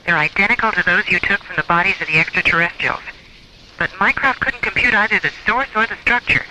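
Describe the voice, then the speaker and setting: A man's voice: high-pitched, fairly quick, bright, forceful tone, a little hiss or hum, rough recording. A man playing a cautious, concerned scientist in a science-fiction radio drama, earnest and a little hesitant. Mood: puzzled, grave. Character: concerned, cautious male scientist reporting grave findings.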